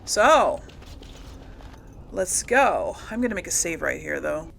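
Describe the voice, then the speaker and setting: A woman's voice: fairly low pitch, sing-song, takes it slowly, gravelly, fairly bright tone, a little hiss or hum. A woman giving casual, chatty commentary as she plays through a video game. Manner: chatty, informal. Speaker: gamer, let's player.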